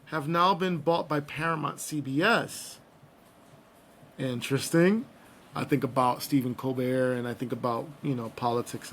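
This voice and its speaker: A man hosts a casual podcast in a slightly raised register. His voice is a little hoarse and scratchy, and his delivery is playful and jokey as he moves from banter into reading the news. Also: on the high side. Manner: playful, joking, gives shout-outs. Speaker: podcast host.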